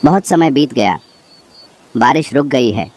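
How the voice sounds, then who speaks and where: A man's voice: medium pitch, expressive, measured pace, a little mellow. A man reading a scripted horror story as its narrator, with insect and bird ambience behind him. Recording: clear background noise, nature ambience.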